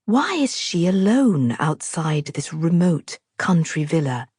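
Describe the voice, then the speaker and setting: A woman reading a novel aloud as a scripted literary narration, in a measured, deliberate, expressive storytelling manner. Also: low voice, husky, no room sound, studio quality. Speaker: author narrating her own audiobook.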